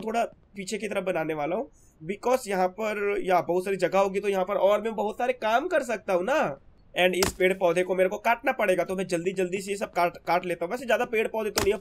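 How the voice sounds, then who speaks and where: A hoarse young man with a sore throat, chatting casually as he narrates his gameplay to his viewers. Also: high-pitched, slight background noise. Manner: chatty, keeps calling viewers brother. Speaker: gaming channel host.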